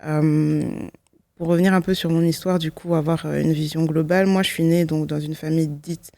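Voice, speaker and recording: A woman's voice: deep voice, steady tone, normal speed, creaky, warm tone, no room sound. A woman, giving a personal testimony in a reflective, hesitant way, often correcting herself. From Belgium. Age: 20s. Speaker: guest, law student giving testimony.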